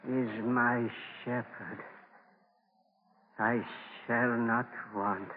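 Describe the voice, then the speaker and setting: An actor playing a gentle, earnest, devout Native guide with solemn, measured phrasing in a 1940s radio drama. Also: male voice, mid-range voice. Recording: noisy, tinny 1940 radio broadcast.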